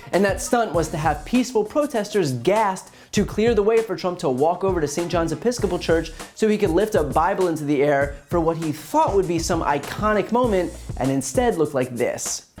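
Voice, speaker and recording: A man's voice: on the high side, darkish tone. A man delivering scripted political commentary as a host, in a pointed, sardonic manner. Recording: music under the voice. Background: music bed.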